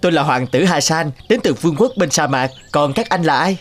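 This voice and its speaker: Earnest, higher-voiced young prince. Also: male voice, expressive, fairly quick. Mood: confident, courteous. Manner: earnest, heroic. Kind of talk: cartoon voice acting. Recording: music behind.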